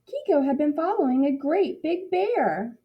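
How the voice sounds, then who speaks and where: A woman narrating a picture book for children in an expressive read-aloud style, like a teacher in class. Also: sits high, unhurried, muffled recording.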